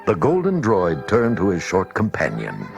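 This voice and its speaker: A male narrator reads a children's storybook in a lowish voice with a gravelly creak. His intonation is highly animated, and music plays clearly behind him. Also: normal speed. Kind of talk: scripted children's read-along story narration.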